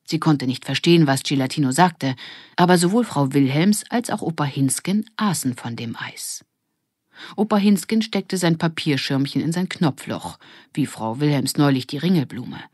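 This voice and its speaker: Female audiobook narrator, deep register, children's story reading, very dry recording. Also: moderate pace, darkish tone, slightly rough, dry recording.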